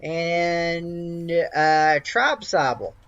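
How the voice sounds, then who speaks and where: A man's voice: fairly high pitch, drawn-out words, fairly bright tone, dull audio. A man running a tabletop game as game master, improvising narration in a casual, hesitant way.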